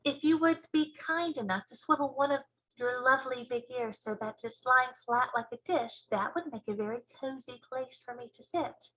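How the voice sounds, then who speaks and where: Woman voicing a high-pitched, polite little girl. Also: normal speed, sharp tone, rough recording. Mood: polite, anxious. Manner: well-mannered, earnest phrasing. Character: small girl, polite, plucky, a little anxious. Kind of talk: children's storybook character voice.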